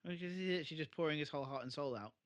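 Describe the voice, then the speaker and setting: A man's voice: fairly high pitch, rapid-fire, fairly bright tone. A man co-hosting a podcast, recapping in a casual, sweary, conversational way. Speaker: podcast co-host. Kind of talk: casual chat, recapping a TV episode.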